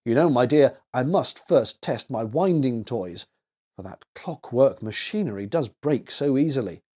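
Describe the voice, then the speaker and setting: A man's voice: mid-range voice, lively intonation, measured pace, warm tone. A kindly old Santa Claus from a children's storybook, acted by a man. He is hearty and genial, with lots of rise and fall as he talks busily. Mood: genial, busy.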